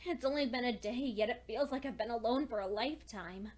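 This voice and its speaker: High-voiced, frightened female pony acted by a woman. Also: a little mellow, natural voice. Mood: subdued, wary. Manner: anxious, halting, stammering, emotionally intense delivery. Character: frightened, lonely young female pony keeping a journal. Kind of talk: dramatic first-person horror fan fiction reading.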